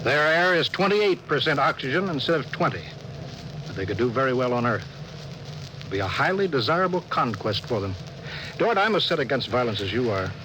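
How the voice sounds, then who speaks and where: A man's voice: mid-range voice, lively intonation, natural voice. Starship commander, male actor: mid-range voice, grave, clipped, used to command; 1950s radio sound with obvious noise. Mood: grave, resolute. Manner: authoritative, deliberate, clipped. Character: stern, grimly resolute male starship commander. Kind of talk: 1950s radio drama acting. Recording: noisy old 1950s radio recording.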